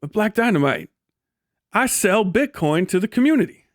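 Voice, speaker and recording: High-voiced man, sweary and incredulous. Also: sing-song, normal speed, no room sound, studio quality. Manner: breaks often into laughter while talking. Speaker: live streamer. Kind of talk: casual rant and commentary to a stream audience.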